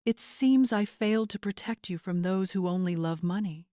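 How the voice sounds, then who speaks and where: A wealthy older mother from a family drama story, read by a woman. She is steely and controlled. Her words are measured and stern, in a dry recording. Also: medium pitch, dark tone, dry recording, natural voice. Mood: solemn, steely.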